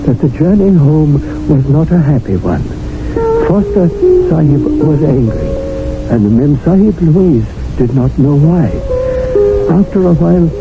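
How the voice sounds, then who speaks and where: A wry male servant, telling the story in formal, measured phrasing. His voice sits high and gravelly. It comes through a tinny old radio broadcast with music behind it. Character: male Indian servant narrating, wry and watchful. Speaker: narrator and gun bearer. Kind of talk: 1970s radio drama acting.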